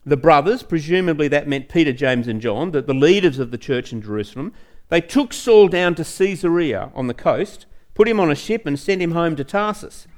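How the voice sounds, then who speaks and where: A man's voice: fairly high pitch, lively intonation, normal speed, a little mellow. A man preaching a teaching sermon in a conversational way, repeating phrases for emphasis and putting rhetorical questions to the listener.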